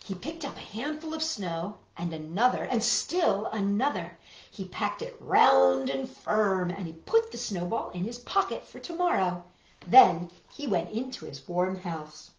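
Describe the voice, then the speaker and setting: A middle-aged woman reading picture books and chatting to young children as a storytime host, expressive and deliberate, with emphatic repetition, recorded indoors in a living room. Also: medium pitch. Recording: boxy roomy sound, dull lo-fi.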